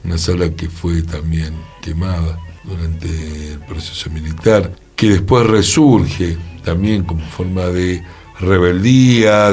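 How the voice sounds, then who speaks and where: A man with a Rioplatense Argentine accent, co-hosting a radio conversation in a thoughtful, measured way. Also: low voice, darkish tone. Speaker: radio show co-host.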